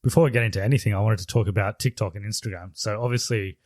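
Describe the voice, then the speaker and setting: A man hosting a casual podcast, leading the talk in a relaxed, steady way on a studio mic. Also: on the low side, very fast, no echo. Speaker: podcast host.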